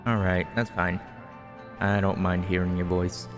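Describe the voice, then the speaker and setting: A man's voice: low-pitched, little pitch movement, unhurried. A man giving casual, offhand, joking commentary as he plays a video game and reads dialogue aloud. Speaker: gaming streamer. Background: game music.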